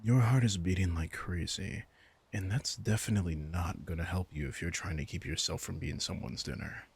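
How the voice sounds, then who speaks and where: Male actor as ancient vampire king, very low pitch, gravelly fry, sardonic, coaxing, foul-mouthed, intimate roleplay audio. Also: normal speed, exaggerated voice. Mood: impatient, teasing. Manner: casual, sardonic, swearing, coaxing his prey. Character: arrogant, teasing, foul-mouthed vampire king, centuries old.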